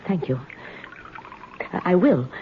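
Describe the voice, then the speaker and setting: A woman's voice: fairly low pitch, big pitch swings, slow pace, heavily acted. An actress playing a lonely, put-upon woman in a radio drama, plaintive and hesitant but resentful and protesting. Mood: quietly resistant. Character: lonely, put-upon timid spinster, resentfully defending her house. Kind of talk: 1970s radio drama acting. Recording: dull, very noisy old radio recording.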